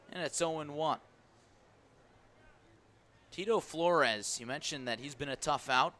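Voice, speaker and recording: Bright-voiced male baseball play-by-play announcer. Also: a little high. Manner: clipped, vivid play calling. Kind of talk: live radio sports commentary. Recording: live ballpark broadcast with background noise.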